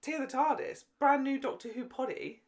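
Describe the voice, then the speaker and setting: A woman's voice: high voice, expressive, fairly quick, a little hiss or hum. A woman with a British accent co-hosting a casual podcast, chatting in a playful, jokey and opinionated way. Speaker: podcast co-host.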